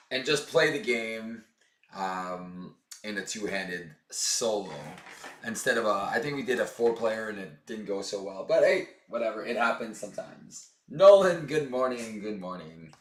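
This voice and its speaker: Hoarse, chatty man with a bright voice. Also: on the low side, echoey room. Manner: chatty, upbeat, lots of fillers. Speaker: board game channel host. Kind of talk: casual tabletop game playthrough.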